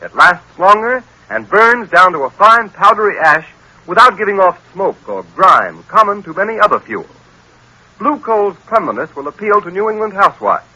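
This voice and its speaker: An American man announces a vintage radio commercial. The delivery is formal and emphatic, very bright and forceful, with lively high pitch. There is some raspiness in the tone. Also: low-quality recording. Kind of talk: vintage 1930s-1940s radio advertising read.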